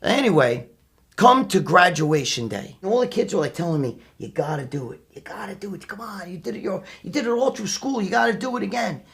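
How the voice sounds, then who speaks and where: A man's voice: sits high, brisk, vocal fry. A middle-aged man with a New York accent telling personal stories casually, brash and profane, acting out what people said. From New York, USA. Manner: brash, profane, animated retelling with acted quotes. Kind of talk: casual anecdotal storytelling.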